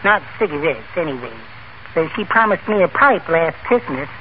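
A man's voice: a little high, sing-song, normal speed. An actor playing a meek, timid, henpecked little man in a 1940s radio comedy, with a mild nasal voice and nervous giggles. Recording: noisy phone-like old radio recording.

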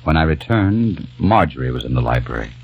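An actor playing a grieving young man who narrates and speaks in a grave, hushed, sorrowful way in a 1940s radio drama. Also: extremely low pitch, big pitch swings, a little fast, a little hiss or hum. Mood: sorrowful narration. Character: grieving young man haunted by lost love. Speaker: narrator and lead. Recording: dull lo-fi old radio recording with faint music.

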